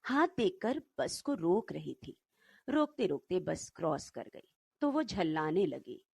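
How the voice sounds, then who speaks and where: Husky lowish-voiced lady reading a story, really takes her time, close dry sound. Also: sing-song, a little mellow, dry recording. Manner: unhurried, dramatic storytelling. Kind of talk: expressive scripted short-story narration.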